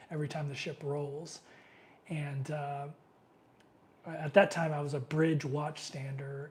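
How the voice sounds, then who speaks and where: A man's voice: fairly high pitch, a little mellow. A middle-aged man with a cold, sniffling as he hosts a casual live question-and-answer stream, rambling loosely with many fillers. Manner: loose and rambling, many fillers, reads viewer comments aloud.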